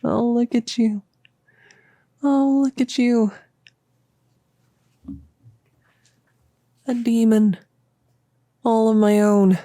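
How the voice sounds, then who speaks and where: A woman's voice: on the high side, steady tone, takes it slowly, mellow, dry recording, studio quality. A young woman playing a bossy, giddy, possessive cultist in an intimate roleplay audio, rambling in a casual, smug, playful way. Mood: gleeful, possessive. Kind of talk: intimate roleplay audio, improvised-sounding monologue.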